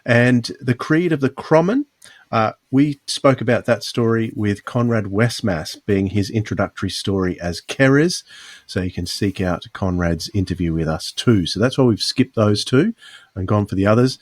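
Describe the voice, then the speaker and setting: A man's voice: on the low side, normal speed, no echo. A man hosting a podcast in a casual, conversational style. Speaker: podcast host.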